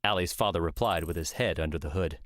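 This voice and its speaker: A man's voice: low voice, fast, a bit bright, dry recording, clean studio sound. A man reading scripted story narration for an audiobook.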